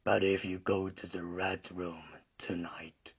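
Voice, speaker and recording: A man voicing a dour old man in a story reading, with a slow, droning, half-whispered delivery. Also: low voice, little pitch movement, gravelly, breathy edge, highs cut off, voice pushed down, exaggerated voice. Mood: ominous, grudging. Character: old man with a withered arm, dour, ominous, grudging.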